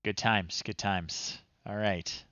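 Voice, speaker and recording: A man's voice: on the low side, fairly even intonation, takes it slowly, pushed and bright, no room sound, lo-fi sound. A man in his thirties giving casual livestream commentary, thinking aloud and muttering in short phrases as he goes. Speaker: livestream host. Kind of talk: casual livestream commentary while trying old software. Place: small room indoors at home.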